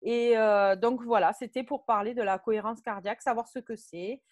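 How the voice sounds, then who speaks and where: A woman hosting an interview opens by introducing her guest. She speaks hesitantly, with many fillers, in a somewhat high voice recorded dry and close. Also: steady tone, normal speed, dry recording. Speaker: interview host.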